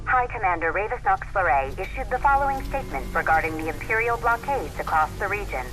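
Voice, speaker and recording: An actress voicing a formal radio news reader in a video game, with measured, official delivery. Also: female voice, mid-range voice, expressive, close to an everyday voice, poor audio. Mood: formal, neutral.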